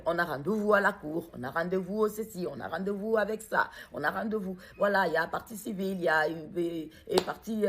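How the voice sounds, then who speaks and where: A grieving mother speaking out in a personal video, hesitant and halting as she searches for words, then pressing earnest questions. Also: lowish voice, breathy edge.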